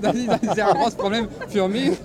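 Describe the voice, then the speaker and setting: A native French-speaking man from southern France talks haltingly in German, breaking off mid-word as he searches for terms. His voice is slightly high, and a thick street crowd surrounds him outdoors. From Occitania, southern France. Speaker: tourist at a German street festival. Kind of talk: casual street chat. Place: busy city street outdoors.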